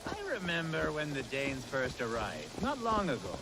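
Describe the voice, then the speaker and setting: A man's voice: mid-range voice, wildly swooping pitch, moderate pace, poor audio. An actor playing a nervous, chatty, rambling Anglo-Saxon nobleman in a video game cutscene. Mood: awkward chatty. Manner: rambling, fussy, flustered, effusive.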